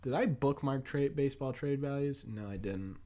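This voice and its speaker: Hoarse man with a New England accent. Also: medium pitch, moderate pace, dark tone. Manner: rambling, chuckling, thinking aloud. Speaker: sports podcast host. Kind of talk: casual live-stream chat answering viewers.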